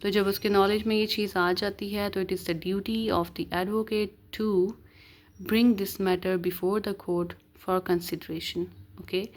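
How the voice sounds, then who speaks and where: A woman with a Pakistani accent giving an explanatory lecture as a law teacher, in a didactic way with short check-in tags. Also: mid-range voice. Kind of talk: explanatory lecture, reading statutes aloud and explaining them.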